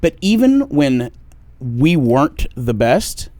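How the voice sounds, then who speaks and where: A man's voice: medium pitch, sing-song, slow, darkish tone, dry recording. 30s guy cohosting, loose and jokey, swears a bit, dry close mic sound. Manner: loose, joking, casual swearing. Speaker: podcast co-host. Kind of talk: casual podcast conversation.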